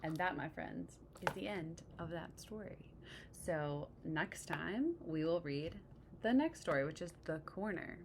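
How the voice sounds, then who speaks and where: A woman reading a picture book aloud expressively, pausing to explain things and check in with young listeners. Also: low-pitched, lively intonation, noisy background. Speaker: read-aloud storyteller.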